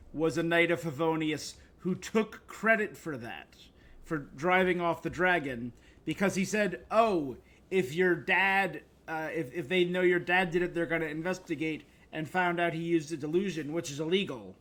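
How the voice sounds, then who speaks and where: Higher-voiced man casually streaming a game. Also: measured pace, a bit bright. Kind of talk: casual game commentary and lore explanation.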